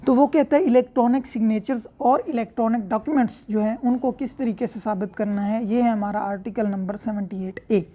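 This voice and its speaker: Female law lecturer, Pakistani accent, highish pitch, teaches step by step. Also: mellow. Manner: explains step by step with everyday examples and frequent check-in tags.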